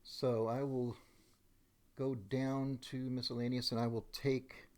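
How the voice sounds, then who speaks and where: A middle-aged man narrating a software demonstration step by step, methodically thinking aloud as he goes. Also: mid-range voice, flat delivery, slow.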